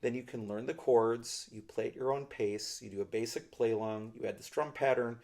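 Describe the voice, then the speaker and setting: A man's voice: mid-range voice, normal speed, darkish tone. A middle-aged man reviewing ukulele gear in a small studio, explaining things in a thoughtful, hedging way. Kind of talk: product review demonstration. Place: small indoor studio with a plain backdrop.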